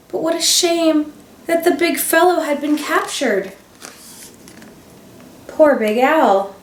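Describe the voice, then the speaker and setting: A woman teacher reading a children's picture book aloud, expressive, with gasps and dramatic emphasis. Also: on the high side. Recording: roomy sound, voice a bit far from the mic.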